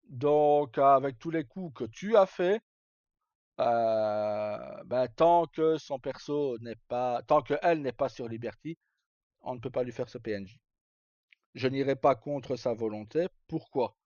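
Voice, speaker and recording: A middle-aged man chats on a livestream. The sound is close and dry, slightly dull and lo-fi. His pitch is average for a man, and he talks in a loose, hesitant, informal way. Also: unhurried, darkish tone, no room sound. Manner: hesitant, rambling, informal, mildly crude. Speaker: live streamer. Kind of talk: casual livestream chat.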